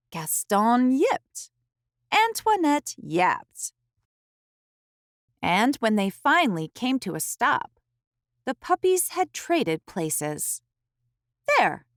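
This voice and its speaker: Woman storyteller, children's read-aloud, unhurried pace, expressive pauses, careful phrasing, dry close sound. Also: medium pitch, no room sound.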